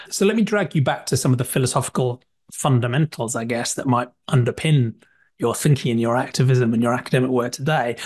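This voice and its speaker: A man hosting a podcast who asks a guest questions in a measured, courteous way. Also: mid-range voice, darkish tone. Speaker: podcast host. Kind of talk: interview questions.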